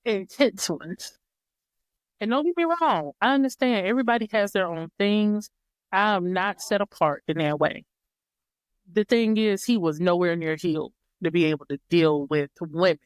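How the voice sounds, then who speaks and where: Smooth-voiced woman telling a story. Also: mid-range voice, normal speed, no echo. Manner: reflective, repeats and rephrases her words. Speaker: podcast guest. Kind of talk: casual storytelling chat.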